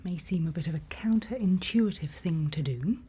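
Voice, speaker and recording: Female, low soft whisper for ASMR roleplay; slow, reassuring guide, faint ambient noise. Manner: gentle, unhurried, reassuring instruction. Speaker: ASMR roleplay performer playing a night watch guide.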